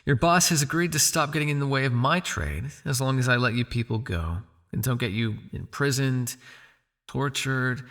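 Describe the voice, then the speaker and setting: A man's voice: mid-range voice, moderate pace, lightly acted. A man playing a smug, possessive vampire crime lord in an ASMR roleplay, with a taunting, silky, condescending delivery. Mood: condescending, teasing. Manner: taunting, silky, condescending with sighs and soft shushing.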